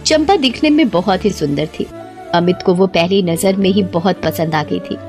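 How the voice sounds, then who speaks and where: A woman's voice: fairly low pitch, expressive, moderate pace, a little mellow, faint music behind. A woman narrating a scripted Hindi story in a dramatic storytelling style.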